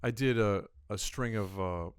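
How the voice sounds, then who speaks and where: Low voiced comic guy on a podcast, dry sound, slangy, tells little stories. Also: a little slow, clear, resonant tone, no echo. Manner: colloquial, acts out short quotes. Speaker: comedian and festival owner, podcast guest. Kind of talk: casual anecdotal interview chat.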